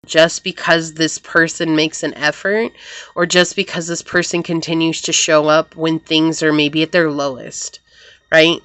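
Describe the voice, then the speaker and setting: A woman giving a pick-a-card tarot reading to her viewers, gentle and reassuring and full of affirmations, sounding slightly congested as she gets over a cold. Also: sits low, clean tone, dry recording.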